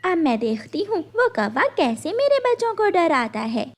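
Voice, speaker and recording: A woman's voice: extremely high pitch, sing-song, normal speed, pitched above the performer's own voice, heavily acted. A woman voicing a protective, determined mother bird in a children's cartoon. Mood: reassuring, determined.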